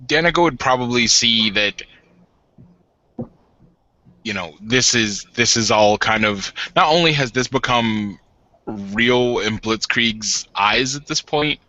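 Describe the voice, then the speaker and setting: A man improvising narration as a tabletop game master in a halting, informal way. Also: medium pitch, pushed and bright. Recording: slightly dull home mic.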